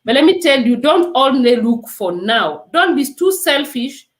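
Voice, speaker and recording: A woman's voice: high voice, big pitch swings, measured pace, echoey room. A woman from Kenya with a Kenyan accent, a nurse speaking as an interview guest in an earnest, urging way. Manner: earnest and exhortative, repeats "let me tell you". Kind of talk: emphatic testimony in an interview.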